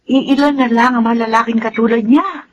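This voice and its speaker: A woman's voice: a little high, steady tone, normal speed, highs cut off, slight background noise, natural voice. An actress playing a fond, nagging middle-aged widowed mother in a Tagalog radio drama.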